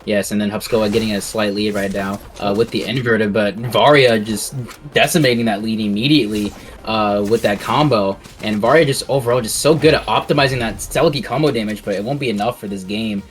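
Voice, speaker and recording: A man's voice: on the low side, fairly quick, soft background music. A man giving live, casual esports commentary in a loose, conversational way, often repeating his phrases.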